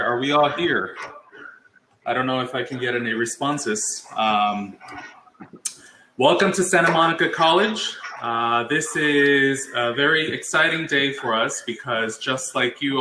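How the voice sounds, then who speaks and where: A man's voice: medium pitch, sharp tone, reverberant, a little hiss or hum, low-quality recording. A man professor hosting an online college workshop, talking in a friendly way with some hesitant fillers. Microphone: video call.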